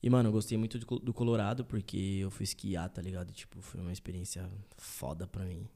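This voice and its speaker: A man's voice: deep voice, flat delivery, quick pace, warm tone. A young man, a podcast guest, chatting casually and telling stories loosely with lots of slang and fillers. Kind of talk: casual chat.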